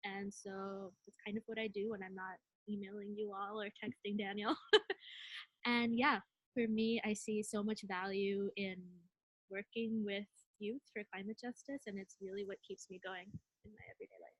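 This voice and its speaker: A woman's voice: mid-range voice, little pitch movement, normal speed, no room sound, rough recording. A woman in her twenties from India, introducing herself as a delegation co-leader in an earnest, conversational webinar talk with frequent fillers.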